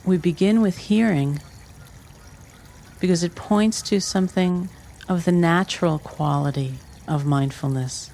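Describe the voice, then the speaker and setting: A meditation teacher giving guided instruction in measured, short phrases with pauses between them. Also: medium pitch, vocal fry, a little mellow. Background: trickling water ambience.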